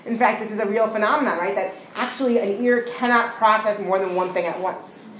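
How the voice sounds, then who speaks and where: A woman's voice: mid-range voice, fairly bright tone, lo-fi sound. A woman leads a class discussion, praising students and often checking in with "right?". The recording carries an echoey room and a faint background hum. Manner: encouraging, quick, full of praise and "right?". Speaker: teacher leading a study session.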